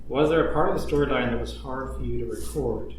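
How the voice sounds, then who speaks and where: A man's voice: medium pitch, quick pace. A man hosting a casual Q&A chat, reading out questions and talking informally about writing. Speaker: podcast writer and Q&A host. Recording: echoey room, distant mic, faint noise.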